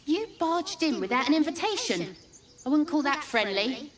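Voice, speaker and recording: Bossy squirrel, high voice, swooping intonation, indignant, scolding, video game character. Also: female voice, big pitch swings, moderate pace, crowd noise. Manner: brash, scolding, bargaining. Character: feisty, territorial talking squirrel, indignant and bossy.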